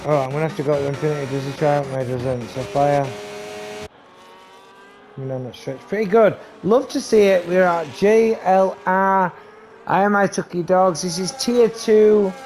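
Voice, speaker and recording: A man giving live commentary on a sim race, talking to viewers and losing his voice, with race car engines loud behind him. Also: normal speed. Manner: excitable, talks to viewers, rising to high bursts on action. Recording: noisy stream with engine sound. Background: race car engine noise.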